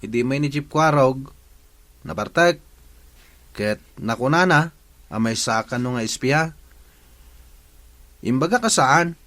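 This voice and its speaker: A wronged villager in an Ilocano radio drama. He speaks at an average male pitch with a plaintive, aggrieved tone. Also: animated, unhurried, pauses often, fairly bright tone. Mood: plaintive, explaining.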